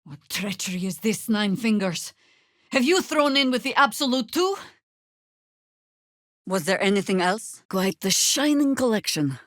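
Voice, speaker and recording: An actress playing a seasoned, middle-aged druid warrior in a fantasy video game, wry and commanding, with a dry, sardonic, measured delivery. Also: female voice, medium pitch, animated, a bit bright, no echo. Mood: grave, measured.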